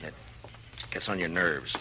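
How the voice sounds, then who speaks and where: An American man acting a stern, weary frontier marshal in 1950s radio drama, terse and forceful. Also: low voice, unhurried, a little hiss or hum, heavily acted. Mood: weary, edgy. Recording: vintage radio recording with music.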